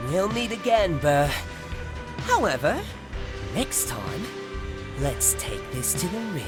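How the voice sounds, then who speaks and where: A woman's voice: lowish voice, very animated, slow pace, music in the background. A woman voicing a cocky, good-humored young demon fighter in a video game, confident and playful. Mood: confident, resolute.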